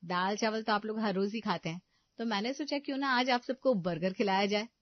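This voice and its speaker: A woman's voice: medium pitch, brisk, dry recording, dull audio, lightly acted. Woman acting a smug, scheming young daughter-in-law. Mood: sugary, persuasive. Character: scheming, self-satisfied young daughter-in-law cutting corners in the kitchen.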